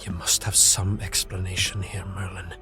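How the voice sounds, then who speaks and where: A suspicious, brooding young knight, played by a man in a fantasy audio drama. His voice sits fairly low, and music plays clearly beneath it. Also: level tone, normal speed, natural voice. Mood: brooding, intent. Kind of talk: audio drama acting with brooding read-aloud monologue. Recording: music under the voice.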